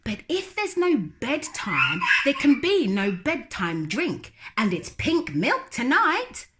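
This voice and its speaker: A woman's voice: on the high side, wildly swooping pitch, takes it slowly, heavy background noise, pitched above the performer's own voice, exaggerated voice. A woman voicing a patient young boy in a storybook, an older brother who coaxes and questions. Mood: persuading. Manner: coaxing, questioning.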